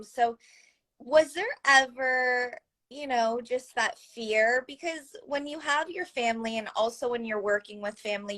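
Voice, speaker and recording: A woman's voice: on the high side, sharp tone. A young woman hosting a live interview in an upbeat, encouraging way.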